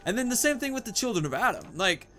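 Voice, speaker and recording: Bright-voiced man doing gameplay commentary. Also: high voice, sing-song, fast. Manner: thinks aloud, narrating own moves and choices. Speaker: gaming video host. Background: video game music.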